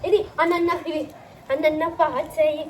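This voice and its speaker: A woman's voice: high-pitched, steady tone, moderate pace, darkish tone. A young woman chatting playfully and casually for a family vlog, recorded outdoors in the rain. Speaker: family vlogger. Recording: noisy outdoor sound with rain.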